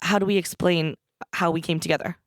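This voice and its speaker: A woman co-hosting a podcast in casual chat, with a hoarse, froggy voice, chatty and laughing often. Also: lowish voice, fairly even intonation, a little fast, dry recording, clean studio sound. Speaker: podcast co-host.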